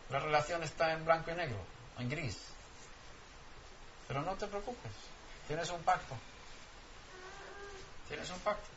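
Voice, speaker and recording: Swedish-native guy preaching, bright forceful voice, a bit higher pitched, dull lo-fi recording w faint room noise. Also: unhurried, long pauses. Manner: emphatic, rhetorical questions. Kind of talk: sermon. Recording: dull lo-fi sound, faint ambience.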